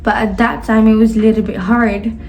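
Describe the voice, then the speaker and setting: A woman's voice: fairly high pitch, flat delivery, fairly quick, a little mellow. A nineteen-year-old woman from Spain chatting casually in a sit-down question-and-answer vlog, rambling with lots of fillers and self-corrections. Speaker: vlogger. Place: indoors at her sister's home.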